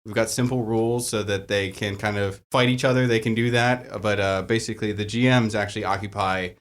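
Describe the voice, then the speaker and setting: A man's voice: fairly low pitch, steady tone, fairly quick. A man speaking as a game master guest, explaining rules in a casual group chat. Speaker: tabletop and LARP game master, panel guest.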